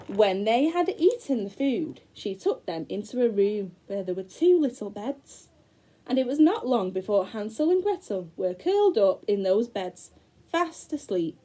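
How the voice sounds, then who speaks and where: A woman teacher reading a fairy tale aloud to young children, slow and dramatic with gasps. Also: mid-range voice, sing-song, dark tone, low-quality recording. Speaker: primary school teacher.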